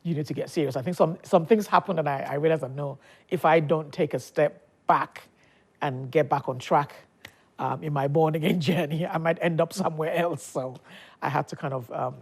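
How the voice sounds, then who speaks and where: Low-voiced woman pastor in her fifties. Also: normal speed, husky. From Nigeria. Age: 50s. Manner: chatty, laughs often, many restarts. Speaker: pastor, interview guest. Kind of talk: casual interview talk.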